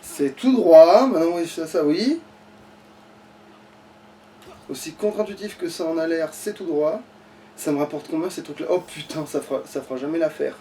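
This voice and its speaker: Casting: male let's player, high-pitched, exasperated and foul-mouthed, casual running commentary, recorded off-mic. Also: a little fast, mellow. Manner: sweary, exasperated, rambling to himself. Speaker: let's play gamer. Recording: distant mic with light background noise.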